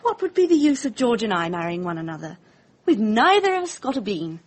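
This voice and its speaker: A woman's voice: mid-range voice, very animated, fairly quick. An actress playing a flippant, breezy young upper-class Englishwoman with a British accent, wry and offhand, in a radio drama. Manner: wry, offhand, drawling society slang.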